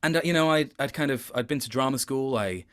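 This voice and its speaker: A man's voice: mid-range voice, fairly bright tone, smooth. A man chatting as an interview guest about his work, reflective, often restarting and correcting himself mid-sentence. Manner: reflective, self-correcting, halting phrasing. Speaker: interview guest, video game voice actor. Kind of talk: casual interview chat.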